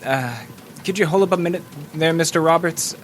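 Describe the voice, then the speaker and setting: An actor playing a nervous, earnest, deferential young newspaper underling who speaks hesitantly in an old-time radio drama. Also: male voice, fairly high pitch, brisk, lightly acted. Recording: noisy live stage, slightly distant.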